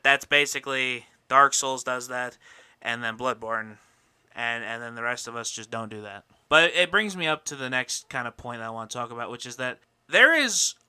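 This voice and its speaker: A man hosts a casual podcast in a very bright, forceful voice with a smooth, clear tone. He is opinionated and rhetorical, with some swearing and mock indignation. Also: medium pitch. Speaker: podcast host.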